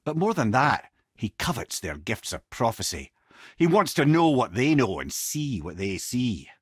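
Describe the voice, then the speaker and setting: An actor playing a wry old sage in a video game, an eloquent, theatrical storyteller with a Scottish accent. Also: male voice, mid-range voice, sing-song, unhurried, husky, no echo, studio quality. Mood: wry, knowing.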